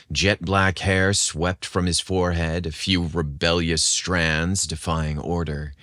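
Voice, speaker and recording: A man reads scripted narration as a podcast host. His delivery is measured and theatrical, and the recording is close and dry. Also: low-pitched, fairly bright tone, no echo.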